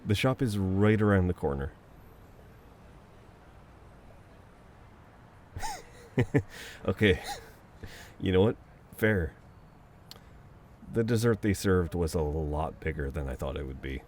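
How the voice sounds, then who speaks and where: A man acts out a caring best friend with a dominant, teasing streak in an intimate ASMR roleplay. His voice is very low and he takes his time, talking casually as if to one close companion. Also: expressive, measured pace, pauses often, a little hiss or hum. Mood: playful, relaxed. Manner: casual, conversational, with soft chuckles and hesitations.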